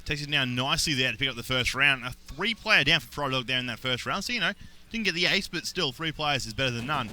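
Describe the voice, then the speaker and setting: Young aussie guy calling a shooter match, talks fast, voice jumps up on big plays, real bright tone. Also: medium pitch. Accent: Australian. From Australia. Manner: excitable, rises sharply on big plays. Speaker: esports caster. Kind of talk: play-by-play esports commentary.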